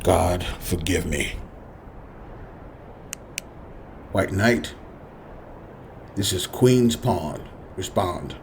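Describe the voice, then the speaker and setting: An actor playing a cold, dutiful army sergeant in a science fiction radio play, speaking with curt authority. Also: male voice, sits low, expressive, slow, gravelly, slight background noise, exaggerated voice. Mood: grave. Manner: curt, authoritative military delivery.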